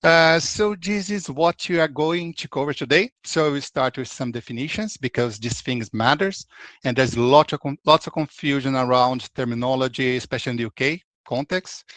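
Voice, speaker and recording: A man's voice: mid-range voice, moderate pace, fairly bright tone, no room sound. A man in his forties from Brazil, speaking with a Brazilian accent as a consultant presenting a webinar in a conversational way, with frequent restarts.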